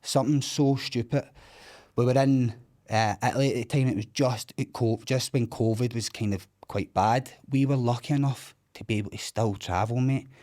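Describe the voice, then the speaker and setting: A Scottish man in his thirties, a self-described loudmouth, bluntly and candidly telling his own story with plenty of swearing in casual interview talk. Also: medium pitch, fairly even intonation, normal speed. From Scotland.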